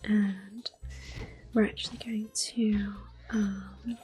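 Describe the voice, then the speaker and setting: A woman giving soft, breathy, mostly whispered ASMR commentary on a video game, gentle and close to the microphone. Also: mid-range voice, little pitch movement, drawn-out words. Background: soft game music.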